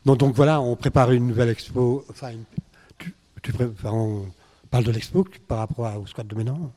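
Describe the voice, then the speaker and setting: A man's voice: medium pitch, steady tone, fairly quick, warm tone. A man interviewing squat residents, asking long rambling questions full of fillers. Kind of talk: casual interview.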